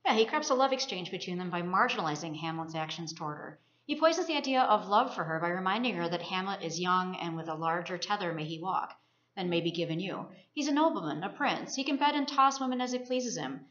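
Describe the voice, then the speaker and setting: Female college student presenting a scripted literary discussion at a brisk pace, roomy lo-fi sound. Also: fairly low pitch, expressive, fairly bright tone. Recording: roomy, muffled lo-fi recording.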